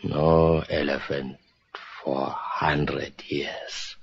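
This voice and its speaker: A grave servant with a very low, badly husky voice. He speaks slowly and solemnly in halting, broken English, as if bearing witness in a radio horror play. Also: level tone, full character voice. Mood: grave, haunted. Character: grave African manservant telling of eerie deaths. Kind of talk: radio horror drama acting. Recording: dull, muffled old radio recording.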